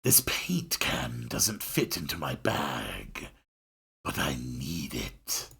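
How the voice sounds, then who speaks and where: Very slow and low, this is a drowsy young art girl acted out by a man from a visual novel. She speaks in a breathy near-whisper, drawing out gloomy, odd remarks in flat deadpan. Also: exaggerated voice. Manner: slow, deadpan, drawn-out delivery.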